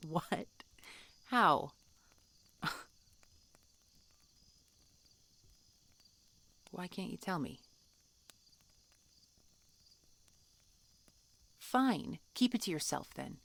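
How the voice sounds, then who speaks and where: A woman playing a guarded, tsundere dragon hunter in an intimate fantasy audio roleplay, halting and grudging, often dropping to a hushed delivery. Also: fairly low pitch, animated, gravelly, heavily acted. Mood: huffy, curious. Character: prickly tsundere female dragon hunter, guarded but softening.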